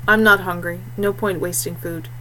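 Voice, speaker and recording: A woman voicing a quiet, self-possessed young male scout in an audiobook, understated and matter-of-fact. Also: fairly low pitch, steady tone, moderate pace, slight background noise, low-quality recording. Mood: calm, dry.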